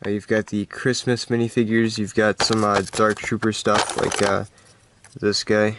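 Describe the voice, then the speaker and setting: A man with a congested voice giving a casual, halting, unscripted show-and-tell of a collection. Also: fairly low pitch, little pitch movement, background noise. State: stuffed-up, congested voice. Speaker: hobby collector hosting a video.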